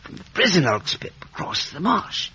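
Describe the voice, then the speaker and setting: An actor playing a kindly, meek village blacksmith with a gentle, hesitant, folksy way of talking in a radio drama. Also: male voice, high voice, wildly swooping pitch, normal speed, gravelly, heavily acted. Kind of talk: 1950s radio drama acting. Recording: muffled, noisy vintage radio recording.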